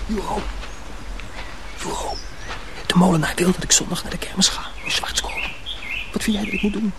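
A man acting in a radio drama as an earnest, troubled young mill apprentice, urgent and often hushed. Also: sits high, fairly even intonation, moderate pace, noisy background.

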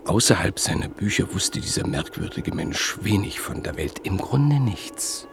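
A man narrating a radio play in a measured, literary storytelling manner. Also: low voice, sing-song, gravelly, background noise.

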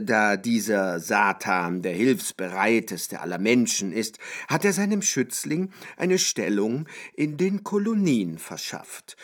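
Slow, pompous scholarly gossip. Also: male voice, medium pitch. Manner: ornate, hesitant, savouring his own erudite phrases. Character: pompous, chatty academic gossip, man of learning. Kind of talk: German literary radio drama acting.

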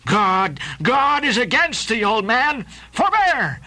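A man's voice: extremely high pitch, big pitch swings, takes it slowly, sharp tone, voice pushed up, full character voice. A man voicing an alarmed first mate who pleads urgently with his captain. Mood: pleading, alarmed.

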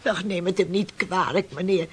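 A woman's voice: on the low side, normal speed, slightly rough. An actress playing an anxious, submissive old fisherman's widow in a radio play, fretful and pleading. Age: older. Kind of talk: theatrical radio play acting.

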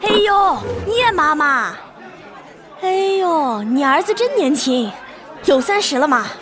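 A woman's voice: sits high, big pitch swings, a little slow. A woman playing an effusive, sociable middle-aged family friend in a drama. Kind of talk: drama dialogue. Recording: noisy crowded room, clinking and chatter.

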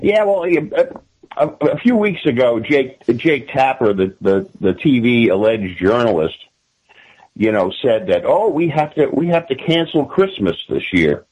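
An American man with a classic radio-style voice, speaking conversationally as an interview guest and often haltingly repeating and restarting his phrases. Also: medium pitch, animated, husky, muffled recording.

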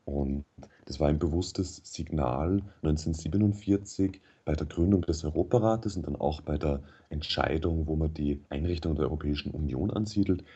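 A man speaking German as his first language, a radio interview guest who explains things in a measured way with frequent hesitations. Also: a bass voice, mellow, slightly rough. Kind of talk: thoughtful radio interview answers.

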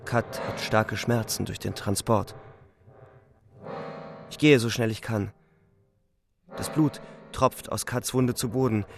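Creaky, sober young soldier narrator. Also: male voice, fairly low pitch, steady tone, slow, pauses often. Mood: strained, tender. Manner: sober, restrained, matter-of-fact. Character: young, weary, reflective front-line soldier narrator. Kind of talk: scripted radio drama narration. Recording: music faintly behind the voice. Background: music.

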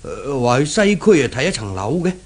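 A man's voice: medium pitch, big pitch swings, a little fast, husky. A man acting in a 1970s Cantonese radio drama as an anxious middle-aged shopkeeper father with a Hong Kong accent, stammering and repeating his words. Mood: worried. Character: anxious older shopkeeper father, flustered and kindly.

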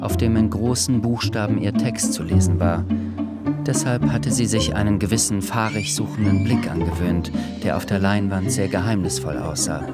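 A man giving scripted literary narration for a radio drama in a measured storytelling manner. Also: lowish voice, fairly even intonation, over loud music.

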